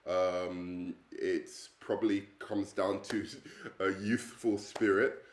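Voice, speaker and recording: English man in his thirties, low voice, halting self-intro, roomy echo, earnest teacher. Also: steady tone, slight background noise. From England. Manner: hesitant, many ums and uhs; earnest, friendly.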